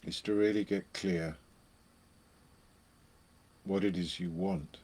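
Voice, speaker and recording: A man leading a guided meditation, speaking in short phrases with long pauses between them. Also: low-pitched, fairly even intonation, a little mellow, breathy edge.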